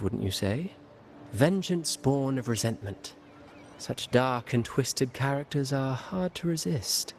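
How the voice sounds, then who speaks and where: An actor voicing a theatrical, scheming male playwright in a game cutscene, telling a dark tale with teasing, dramatic flair. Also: medium pitch, slight background noise. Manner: dramatic, teasing, mysterious storytelling. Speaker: game character.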